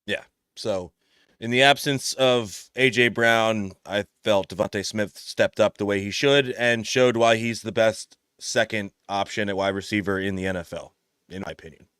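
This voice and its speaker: A man co-hosting a sports podcast, speaking in a measured, slightly hesitant way, his voice a little raspy from screaming the night before. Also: fairly low pitch, steady tone, fairly bright tone, dry recording, studio quality. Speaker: podcast co-host. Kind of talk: casual sports chat.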